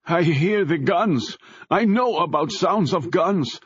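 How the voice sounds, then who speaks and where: A man voicing a timid, tearful Polish immigrant man who speaks halting broken English with a Polish accent, in an audiobook. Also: a little high, animated, breathy edge, no echo, highs cut off, pitched above the performer's own voice, exaggerated voice. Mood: shaken.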